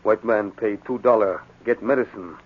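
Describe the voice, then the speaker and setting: A man playing a guarded young chief's son who speaks in terse, halting broken English in a 1940s radio drama. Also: lowish voice, close to an everyday voice. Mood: earnest, grim. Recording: tinny, band-limited 1940s radio recording.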